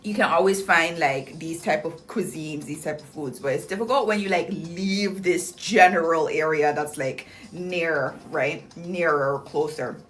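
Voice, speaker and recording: A woman's voice: low-pitched, measured pace, few pauses, a bit bright. A young woman hosting a casual livestream, chatting in a bubbly, slangy way with lots of "like" and "um" as she reads viewer comments. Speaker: livestream host promoting clinic deals.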